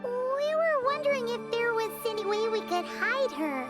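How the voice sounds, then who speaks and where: A woman's voice: very high-pitched, very animated, normal speed, over loud music. A woman voicing a small, chatty, cheerful fairy-like girl companion in a video game, with exclamatory delivery. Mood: explaining. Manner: chirpy, exclamatory. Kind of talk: animated game dialogue.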